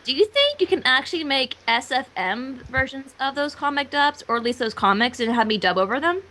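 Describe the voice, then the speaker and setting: A woman's voice: high-pitched, lively intonation, a little fast, sharp tone. A woman chatting casually on an online voice call in an eager, tentative way. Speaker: amateur voice actor, livestream guest. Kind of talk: casual chat.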